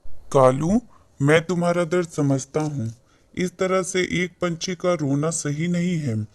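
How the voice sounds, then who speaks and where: A man's voice: fairly high pitch, slow pace. A man voicing a kind, wish-granting holy man in a cartoon moral story, benevolent and instructing.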